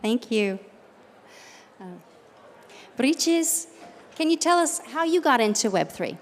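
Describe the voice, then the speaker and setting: A woman's voice: high voice, lively intonation, slow pace. A woman moderating a panel, addressing guests and audience as host. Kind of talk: hosting a panel. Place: summit event room. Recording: noisy reverberant event hall. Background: faint room noise.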